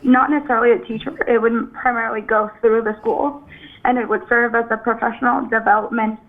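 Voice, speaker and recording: A young woman calls in on a thin, phone-like line. She sounds jittery, with lots of restarts and apologies. Her voice sits fairly high. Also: fairly even intonation. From Venezuela. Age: 20s. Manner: nervous, apologetic, halting. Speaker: caller.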